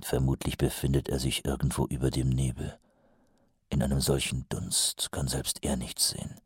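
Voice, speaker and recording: A weary, suspicious captain who mutters low and coolly, as a male narrator puts him on. The voice sits very deep and husky. Dry, close storybook recording. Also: completely flat delivery, moderate pace, no room sound, full character voice. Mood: brooding.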